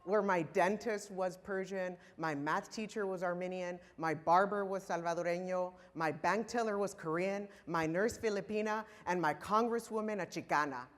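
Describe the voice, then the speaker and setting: A man in his thirties, from Los Angeles, delivers a prepared keynote with passion and emphatic pauses. His voice carries a long reverb tail from the large conference hall he speaks in. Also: high-pitched, fairly bright tone. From Los Angeles, California. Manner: impassioned, emphatic, with pointed pauses. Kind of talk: prepared speech to a conference audience.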